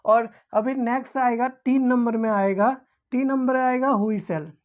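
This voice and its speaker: A woman's voice: on the high side, moderate pace, a little mellow. A woman explaining things as a teacher, repeating key terms as if dictating them. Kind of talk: explanatory lesson for security guards.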